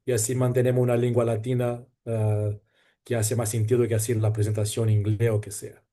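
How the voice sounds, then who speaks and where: Mellow man speaking careful Spanish. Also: medium pitch, flat delivery, brisk. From Brazil. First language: Portuguese. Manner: careful, polite non-native Spanish. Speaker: panelist. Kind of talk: conference presentation.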